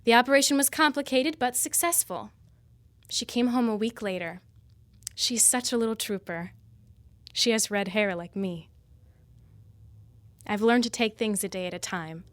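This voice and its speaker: An actress playing an earnest, confiding mother in a radio drama, reading a personal letter in a measured way. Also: a little high, no echo, lightly acted. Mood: wistful acceptance. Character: Julie, grieving mother of a sick baby girl, earnest, confiding.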